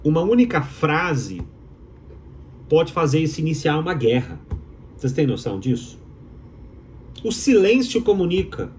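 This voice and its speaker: A man's voice: a little high, very animated, a little slow, pauses often, a little mellow, slight background noise. A man from Minas Gerais with a Mineiro accent, a doctoral student giving an opinionated explainer to viewers, emphatic and rhetorical, repeating his key phrases. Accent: Mineiro Brazilian Portuguese. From Minas Gerais, Brazil.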